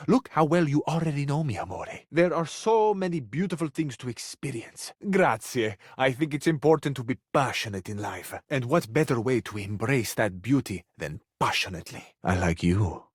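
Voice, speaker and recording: A man's voice: mid-range voice, animated, normal speed, hardly any pauses, breathy edge, no room sound, studio quality. A man voicing a suave, passionate living cabinet in a video game, with an Italian accent and a florid, flirtatious, earnest way of talking. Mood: flirtatious, tender. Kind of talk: theatrical romantic video game voice acting.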